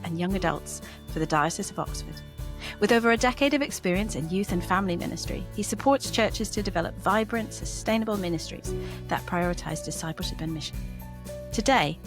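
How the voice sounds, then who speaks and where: A woman hosting a church podcast, reading a scripted introduction and then putting upbeat questions to her guest. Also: lowish voice. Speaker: podcast host.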